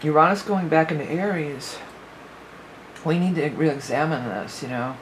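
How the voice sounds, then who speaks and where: A woman's voice: low-pitched, moderate pace, a little hiss or hum, rough recording. A woman giving a casual spoken astrology forecast as a psychic reader, rambling and thinking aloud with many fillers, her voice a little worn.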